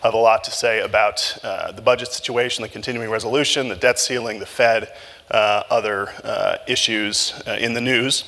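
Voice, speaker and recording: A man moderating a live breakfast event, talking loosely with many filler pauses as he asks his guests questions. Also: on the low side, fairly even intonation, vocal fry, bright, forceful tone, big-room sound. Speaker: moderator and journalist. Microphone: handheld mic.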